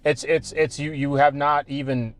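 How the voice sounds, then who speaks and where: A man's voice: a little high, little pitch movement, normal speed. Emphatic man in casual talk. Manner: emphatic, repetitive, swearing, restarts often. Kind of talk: casual chat.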